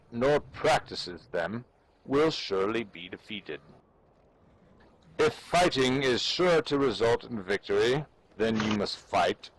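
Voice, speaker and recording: A man giving a dramatic, measured reading of an old military treatise in a formal, declamatory way. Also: on the low side, a little hiss or hum, low-quality recording. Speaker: amateur reader.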